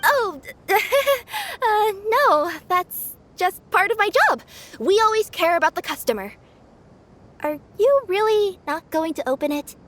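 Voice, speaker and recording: A woman's voice: extremely high pitch, lively intonation, slow, fairly bright tone, full character voice. A woman voicing a cheerful young female cat-spirit courier who speaks politely and stammers when nervous. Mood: flustered. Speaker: delivery courier. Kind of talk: video game voice acting.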